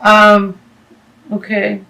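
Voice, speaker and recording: Slow, halting woman reading tarot. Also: mid-range voice, monotone, creaky, bright, forceful tone, faint music behind, poor audio. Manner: halting, many fillers and restarts. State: losing her voice. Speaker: tarot reader.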